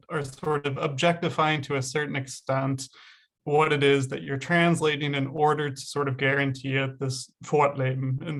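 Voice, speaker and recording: Casting: man, fairly high voice with gravelly fry, earnest and hedging, video call audio. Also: a bit bright. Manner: earnest, hedging, frequent fillers. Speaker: audience member asking a question. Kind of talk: academic Q&A question.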